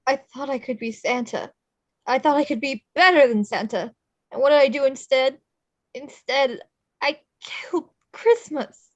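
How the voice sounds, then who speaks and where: A woman's voice: high-pitched, measured pace, no room sound, muffled recording. A woman playing an anguished, remorseful male skeleton showman in a dramatic live table read. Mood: bitter regret.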